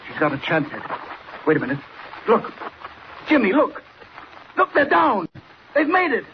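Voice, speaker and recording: An actor playing an excitable, anxious grown man in a 1940s radio adventure serial. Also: high voice, moderate pace. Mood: excited relief. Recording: noisy, muffled old radio recording.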